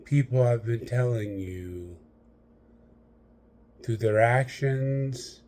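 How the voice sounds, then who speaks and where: A man guides a meditation in a mellow, relaxed voice. He takes his time, setting out short, measured phrases with long pauses between them. The pitch sits in a middle male register, and he addresses the listener directly.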